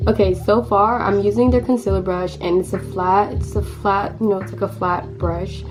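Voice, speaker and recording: A hoarse teenage girl talks through her makeup routine in a loose, rambling way, stopping and starting her sentences. Her pitch sits in the middle range. Clear background music plays under her voice. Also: fairly even intonation, moderate pace, low-quality recording. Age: teens. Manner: chatty, rambling, lots of fillers and restarts. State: hoarse, lost voice. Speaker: beauty vlogger. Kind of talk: casual makeup tutorial chat.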